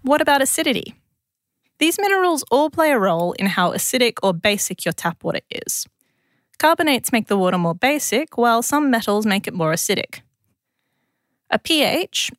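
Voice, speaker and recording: A woman's voice: a little high, fairly bright tone, dry recording, studio quality. A woman with an Australian accent presents a scripted science explainer in a conversational, lightly wry way.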